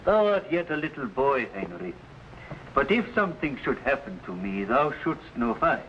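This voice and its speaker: A man's voice: medium pitch, sing-song, normal speed, fairly bright tone. An actor playing a proud, resolute Swiss father in an old-time adventure serial, speaking in solemn, archaic formal language. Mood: grave, firm. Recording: noisy vintage broadcast recording.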